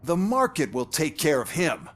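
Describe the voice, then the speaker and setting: An arrogant, heartless company executive for a video game. His voice sits a little high for a man, and he talks down to everyone with mocking, self-satisfied relish. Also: sing-song, moderate pace, a bit bright. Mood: boastful, scornful. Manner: sneering, boastful, mocking. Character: smug, callous male corporate executive, gleefully arrogant.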